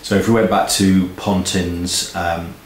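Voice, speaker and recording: A man's voice: sits low, flat delivery, unhurried. A man chatting casually as co-host of an update video, recorded in an office. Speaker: co-host of a music event promoters' video update. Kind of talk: casual two-person chat. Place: indoor office room. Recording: roomy echo, noticeable background noise.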